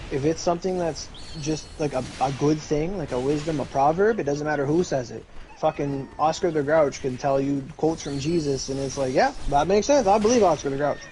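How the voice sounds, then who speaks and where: A man's voice: on the high side, fairly quick, warm tone, slight background noise, poor audio. A man streaming, chatting casually in a rambling way full of fillers and restarts.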